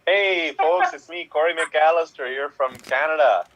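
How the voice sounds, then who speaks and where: A man's voice: on the high side, normal speed, a bit bright. A man playing a cheery, friendly guy from Canada. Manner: cheery, neighborly.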